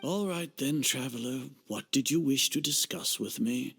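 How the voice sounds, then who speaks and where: An actor playing a pompous, coldly menacing older grand sage in a video game dub, formal and condescending, with a husky voice. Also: male voice, mid-range voice, normal speed, a bit bright, faint music behind. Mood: condescending, impatient.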